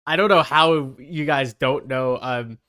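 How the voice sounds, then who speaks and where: Male streamer, clear resonant voice, loose conversational delivery that wanders as he thinks aloud. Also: mid-range voice, measured pace, sharp tone. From Canada. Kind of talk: casual livestream chat.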